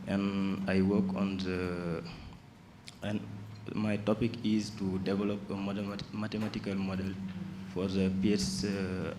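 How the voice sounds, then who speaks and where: Man, halting; deep, flat delivery, echoey noisy room. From Senegal. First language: French. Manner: halting, searching for words. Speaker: PhD student. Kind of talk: self-introduction. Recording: echoey room with background noise.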